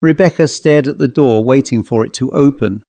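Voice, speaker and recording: A man's voice: mid-range voice, normal speed, dark tone, dry recording. A man reading a literary ghost story aloud as a scripted audiobook narrator.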